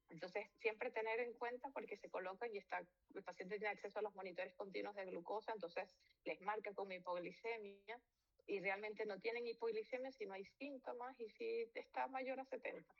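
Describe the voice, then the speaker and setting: A woman physician from Venezuela walks students through a medical lecture, talking loosely and correcting herself along the way. Her voice has a gravelly, fried edge at a middling pitch. The recording sounds dull and lo-fi. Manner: informal, with fillers and self-corrections.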